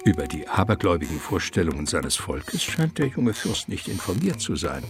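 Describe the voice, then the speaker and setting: Male narrator, very low pitch, gravelly creak, suspenseful, deliberate, literary radio drama narration. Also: sing-song, background noise. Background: prominent music.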